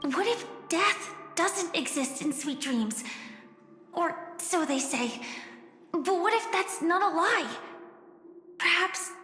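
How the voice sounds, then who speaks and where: A wistful young game heroine with a high, girlish pitch. Her delivery is measured, earnest and thoughtful. The voice echoes in a big reverb, with soft music behind. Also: animated, full character voice. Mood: pensive, wistful. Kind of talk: scripted game dialogue. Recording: long echoing reverb, faint music.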